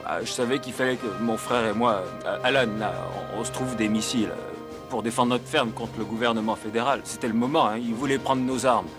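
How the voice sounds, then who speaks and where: A man's voice: medium pitch, fairly even intonation, fairly quick, music in the background, lightly acted. A man playing a farmer who rambles hesitantly. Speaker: militia farmer.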